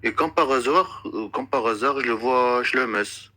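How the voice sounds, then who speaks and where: Insistent man with a very bright voice. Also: mid-range voice. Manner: insistent, repetitive; halting, searching for words. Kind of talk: unscripted accusatory complaint in a conversation.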